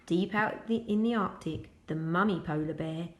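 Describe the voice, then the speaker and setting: A woman reading a children's picture book aloud as a school storyteller, expressive and addressing young children. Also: fairly low pitch, normal speed, echoey room.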